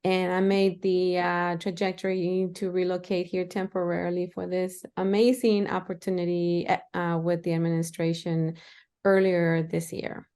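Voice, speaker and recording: A woman in her fifties with a smooth, resonant voice speaks as a podcast guest. She talks in a reflective, conversational way, dropping in the odd Spanish phrase as she recalls growing up in El Salvador. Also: lowish voice, level tone, normal speed, mellow. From Los Angeles, grew up in El Salvador. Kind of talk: live podcast interview, conversational.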